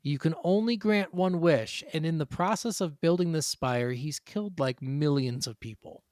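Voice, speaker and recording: A man co-hosting a gaming podcast, talking in a casual, animated storytelling way with easy swearing. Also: a little high, normal speed, dry recording, clean studio sound. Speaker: podcast co-host.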